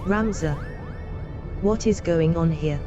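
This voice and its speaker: A frail yet resolute young lady speaks slowly and solemnly in a lowish voice. Prominent fantasy game music surrounds her mystical delivery. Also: lo-fi sound. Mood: weary, curious. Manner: slow, solemn. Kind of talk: fantasy video game voice acting. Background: loud game music.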